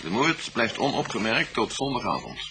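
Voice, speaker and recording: A man playing a thoughtful, matter-of-fact police detective in a radio play, setting out facts deliberately. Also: mid-range voice, big pitch swings. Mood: methodical. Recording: noisy old radio play recording.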